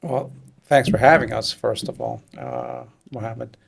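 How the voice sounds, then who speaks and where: A man raised in the United States, speaking as a podcast guest about his studies, measured and grateful. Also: mid-range voice, sing-song, vocal fry, slight background noise. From born in South Korea, raised in the United States. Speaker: guest, alumnus. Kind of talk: podcast interview.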